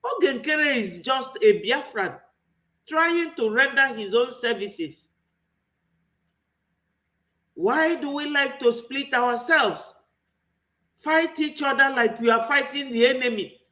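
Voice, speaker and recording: A man's voice: extremely high pitch, animated, takes it slowly, pauses often, bright, forceful tone. A man with a Nigerian accent giving an impassioned political commentary, full of rhetorical questions. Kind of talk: online political commentary monologue.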